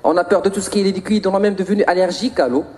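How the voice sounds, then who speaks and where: A man with a Moroccan accent performing spoken-word slam poetry in a theatrical, rhythmic, punchy delivery with drawn-out line endings. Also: high-pitched, fairly even intonation, darkish tone. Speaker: slam poet.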